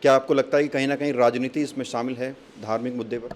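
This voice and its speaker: A man's voice: medium pitch, steady tone, fast talker, a little hiss or hum. A man working as a news reporter, putting probing questions and counterpoints in an interview.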